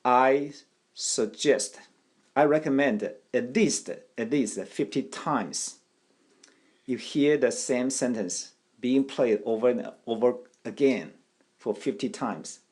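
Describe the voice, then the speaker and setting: A man whose first language is Taiwanese gives a language lesson, speaking deliberately and often repeating his phrases. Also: mid-range voice, darkish tone, husky. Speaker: language teacher. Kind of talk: slow instructional talk.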